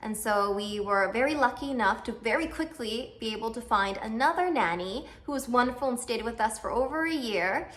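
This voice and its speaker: A woman's voice: a little high, lively intonation, brisk, hardly any pauses, a bit bright. A woman in her thirties from Canada, chatting casually to camera in a vlog, self-deprecating and full of fillers. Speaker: vlogger.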